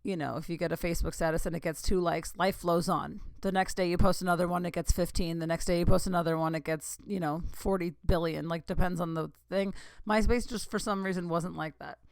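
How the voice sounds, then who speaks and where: A woman's voice: fairly low pitch, steady tone, a little fast, creaky, darkish tone, no room sound. Chatty woman in her thirties, dry sound. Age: 30s. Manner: rambling, giggly, lots of "like" and asides. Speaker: podcast host. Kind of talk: casual solo podcast chat.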